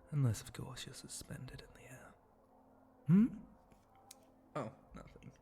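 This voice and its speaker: A sly, flirty spider-monster bartender acted out by a man murmurs in a mellow, creaky voice for an intimate ASMR roleplay. He takes his time, very slow and teasing, and often slips into a breathy whisper. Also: mid-range voice.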